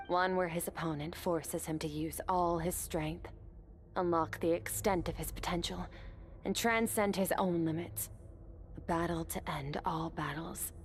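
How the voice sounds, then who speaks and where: Composed swordswoman, calm, firm, slightly low voice, game cutscene. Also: a little hiss or hum. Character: composed young female swordswoman, resolute.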